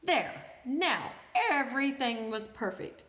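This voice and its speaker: Female storybook reader for kids: high, bright voice, unhurried and expressive, echoey room. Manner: expressive, pauses to ask listeners questions. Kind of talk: animated children's read-aloud.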